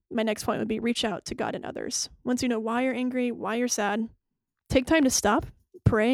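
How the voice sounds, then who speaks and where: A woman's voice: fairly high pitch, gravelly, a little mellow, no echo. A young woman co-hosting a faith podcast, laughing easily and explaining things step by step. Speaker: podcast co-host. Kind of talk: casual faith podcast teaching.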